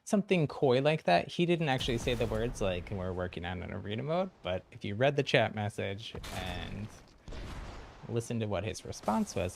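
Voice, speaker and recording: A man's voice: medium pitch, gravelly, slight background noise. A man chatting casually and easygoing as he comments live on the video game he is playing. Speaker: game livestreamer.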